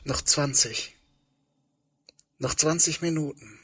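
A man playing a searching, tender man facing doom in an intimate audio drama, speaking haltingly and sometimes hushed. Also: fairly high pitch, husky, exaggerated voice. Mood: tender, resigned.

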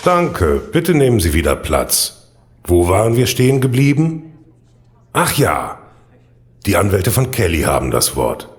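A man's voice: lowish voice, wildly swooping pitch, unhurried, long pauses. A man playing a wry, authoritative court judge in an audio drama, with a dry, commanding manner. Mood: dry, stern.

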